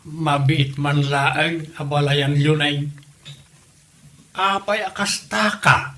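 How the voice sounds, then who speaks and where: Man playing older Ilocano dad, bright forceful voice, earnest, wounded suitor, comedy drama. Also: on the high side, steady tone, takes it slowly, full character voice. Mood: hurt, pleading. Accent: Filipino, Ilocano.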